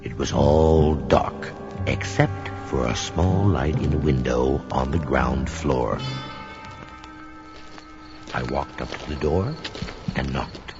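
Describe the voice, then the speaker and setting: An actor playing a sinister old storyteller telling a spooky Halloween tale in a theatrical, dramatic way. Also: male voice, rumbling low, exaggerated voice. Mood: creepy, inviting. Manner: slow, dramatic, whispers and outbursts. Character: sinister old storyteller welcoming listeners into his home. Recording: dull lo-fi recording. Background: music.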